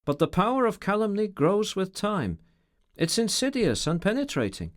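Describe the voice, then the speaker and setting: A brooding, sardonic gentleman with formal, ironic phrasing, his voice pitched up and moving widely, as a man reading aloud acts him out. He speaks in a measured way. The sound is close and dry. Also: huge pitch swings, no echo. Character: brooding, sardonic, educated middle-aged man.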